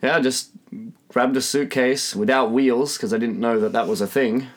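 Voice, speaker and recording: Australian man, mid-pitched, easygoing laughing guest storyteller. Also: fairly even intonation, normal speed. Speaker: guest, band singer. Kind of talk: casual interview chat. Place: hotel room.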